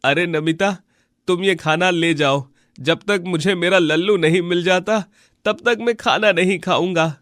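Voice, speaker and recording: A man voicing a poor, tender-hearted villager in a cartoon moral story, plaintive and pleading in a drawn-out way. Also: high-pitched, fairly bright tone, dry recording, studio quality. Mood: sorrowful. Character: poor, tender-hearted villager pining for his lost bull. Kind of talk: Hindi animated moral story dialogue.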